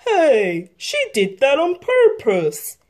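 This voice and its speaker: A big friendly bear from a children's storybook , voiced by a woman. He is folksy and protective, drawling his words slowly in big, animated swoops of pitch. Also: exaggerated voice. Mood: wary.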